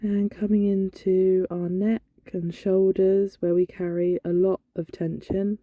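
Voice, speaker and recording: A woman leading a guided body scan meditation in a gentle, soothing, instructional manner. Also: mid-range voice, flat delivery, measured pace. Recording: dull, lo-fi, roomy echo.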